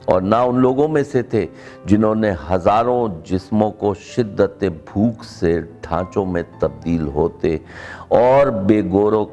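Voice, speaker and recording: A middle-aged man with a Pakistani accent, presenting in a measured, formal, oratorical way. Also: low-pitched, mellow. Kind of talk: introduction and formal recitation of a written speech.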